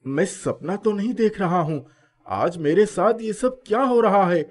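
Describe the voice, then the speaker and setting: A man voicing a kind, humble schoolboy in an animated moral story, speaking politely and expressively. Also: high voice, measured pace, pitched above the performer's own voice. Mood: puzzled, amazed. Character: kind, earnest schoolboy, humble and easily flustered.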